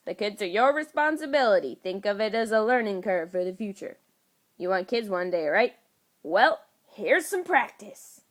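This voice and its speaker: A woman voicing a gruff, exasperated male spy director who scolds bluntly and swears, in a storybook reading. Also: mid-range voice, expressive, moderate pace, natural voice. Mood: stern.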